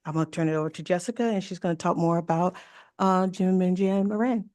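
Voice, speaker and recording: A woman, a club director, giving a brisk and eager presentation at a city commission meeting. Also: fairly low pitch, steady tone, darkish tone, dry recording.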